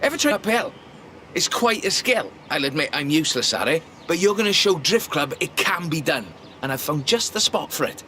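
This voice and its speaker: An actor playing an excitable, car-obsessed drift club mentor in a video game, chatty, theatrical and playfully pedantic. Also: male voice, on the high side, a little fast. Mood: enthusiastic, chatty. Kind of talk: video game mission commentary. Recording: voice under loud car engine noise.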